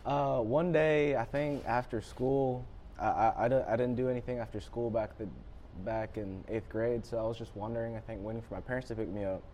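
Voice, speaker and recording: A man's voice: mid-range voice, fairly even intonation, fairly quick, dark tone, a little hiss or hum. A teenage boy born in Japan, answering interview questions politely and often saying "yes, sir". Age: teens. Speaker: student athlete guest.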